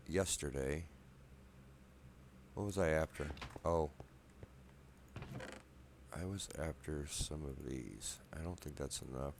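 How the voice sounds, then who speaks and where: Soft-spoken deep man with a slight cold. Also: slight background noise. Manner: soft-spoken, rambling, self-deprecating. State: slight cold, a bit wheezy. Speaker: gameplay video maker. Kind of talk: casual let's play commentary. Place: apartment room at night.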